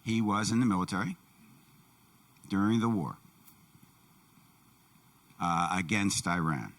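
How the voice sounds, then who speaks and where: A man's voice: deep voice, lively intonation, takes it slowly, fairly bright tone, far from the mic. A man interpreting for a deaf guest, voicing his answers in plain, brief sentences. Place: church sanctuary.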